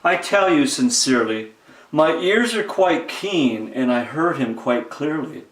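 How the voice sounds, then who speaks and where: A woman voicing a big, kindhearted male elephant from a storybook, speaking earnestly in a low, full voice. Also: animated, echoey room, rough recording. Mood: earnest pleading. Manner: slow, earnest, emphatic.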